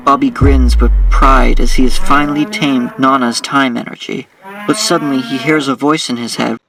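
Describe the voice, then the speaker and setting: A man's voice: mid-range voice, steady tone, normal speed, vocal fry, distant mic, crowd noise, rough recording. A man giving scripted, dramatic narration as the narrator of an audio drama.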